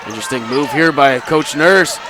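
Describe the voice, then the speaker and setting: A man's voice: medium pitch, moderate pace, over a noisy crowd, very noisy. Sniffly male basketball play-by-play announcer. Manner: rises to excited shouts on baskets. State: sniffly, under the weather. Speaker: play-by-play commentator.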